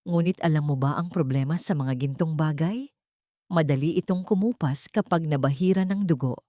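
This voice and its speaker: A woman's voice: low voice, normal speed, dark tone, no room sound, clean studio sound, close to an everyday voice. A woman voicing a poised, mocking female executive with a cold, cutting manner in an audio drama. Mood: cold mockery.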